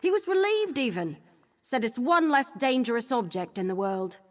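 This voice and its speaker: A woman's voice: on the high side, sing-song, moderate pace. A woman voicing a cheeky, eager young apprentice thief in a video game, chatty, playful and bubbly. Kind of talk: scripted video game dialogue.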